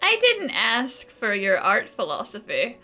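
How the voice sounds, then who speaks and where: A woman's voice: a little high, huge pitch swings, unhurried, bright, forceful tone. A woman giving casual, reactive commentary while playing a video game. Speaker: gamer commenting on gameplay. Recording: faint game music behind. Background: video game music.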